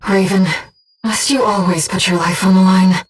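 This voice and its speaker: Game role: calm, faithful female voice-in-the-head for a pilot, acted by a woman; mid-pitched, slightly husky, formal and exact. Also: distant mic, close to an everyday voice. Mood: soft, grave. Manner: measured, earnest, precise, gently formal. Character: calm, devoted female disembodied voice guiding a pilot. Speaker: mission support companion. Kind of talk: scripted video game voice acting.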